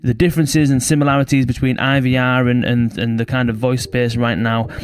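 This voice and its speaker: A man hosting a podcast interview while he has a cold, his voice croaky, chatting upbeat and laughing easily. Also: mid-range voice, flat delivery, a little fast. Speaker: podcast host.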